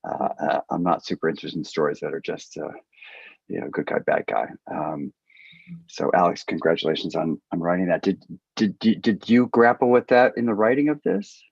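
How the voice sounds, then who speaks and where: A man's voice: low-pitched, moderate pace, vocal fry, husky. A man moderating a panel conversation, speaking thoughtfully and with some hesitation. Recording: echoey room, slightly dull sound.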